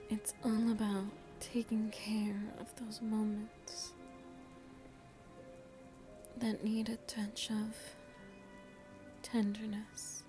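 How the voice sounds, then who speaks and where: A woman voicing a seductive, motherly vampire hostess in an ASMR roleplay, breathy and soft, with a tender, teasing manner. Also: mid-range voice, completely flat delivery, drawn-out words, pauses often, music in the background. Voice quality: breathy, whispery.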